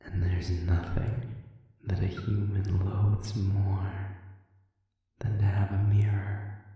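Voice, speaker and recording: A performer voicing a sadistic, manipulative demon in a scripted audio roleplay, silkily taunting with slow, deliberate pauses and breathy near-whispers. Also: low voice, completely flat delivery, lo-fi sound. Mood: menacing, measured. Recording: echoing hall reverb, distant dark sound.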